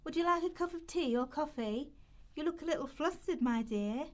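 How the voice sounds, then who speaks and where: A woman's voice: high-pitched, lively intonation, moderate pace. Kindly, devout female charity helper, played by a woman: genteel, soothing, radio drama. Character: kindly, pious female food bank volunteer. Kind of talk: amateur radio play rehearsal.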